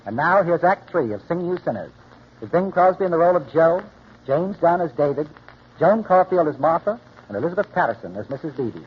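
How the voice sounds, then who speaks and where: A man's voice: a little high, very animated, a little fast, a little hiss or hum. A man hosting and narrating a 1940s radio drama in scripted announcer style. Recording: dull old radio recording, music behind.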